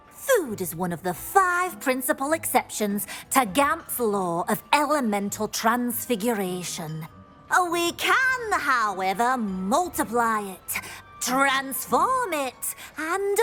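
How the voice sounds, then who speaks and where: Eager, high-pitched, swooping little house-elf. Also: female voice, sharp tone, exaggerated voice. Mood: earnest, helpful. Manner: speaks of self in third person, fussy and obliging. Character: cheerful, eager-to-please female house-elf kitchen servant. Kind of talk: video game character acting.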